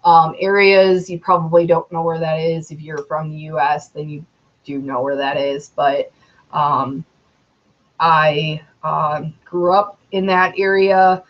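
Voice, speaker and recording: Young woman, twenties, slow rambling vlog talk, lowish voice, dull lo-fi sound. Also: little pitch movement. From Metro Detroit, Michigan, USA. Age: 20s. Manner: rambling, many ums and you knows. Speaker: YouTuber.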